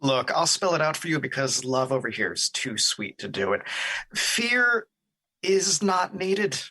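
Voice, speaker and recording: Audio drama: male actor as a self-satisfied hedonistic spirit, higher register, slow, languid and blunt. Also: sing-song, sharp tone, full character voice. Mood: blunt, dismissive.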